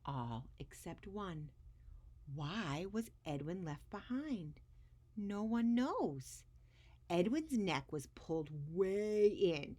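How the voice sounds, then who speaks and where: A woman's voice: on the low side, darkish tone. A woman hosting a story time for young children, speaking expressively as she shares a picture book.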